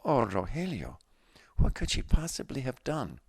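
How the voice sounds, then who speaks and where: A man voicing an optimistic woman who gently teases and reassures her friend in a story. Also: medium pitch, huge pitch swings, moderate pace, gravelly, breathy edge, no echo, pitched above the performer's own voice, heavily acted. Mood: concerned. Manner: teasing, encouraging. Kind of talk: storybook dialogue reading.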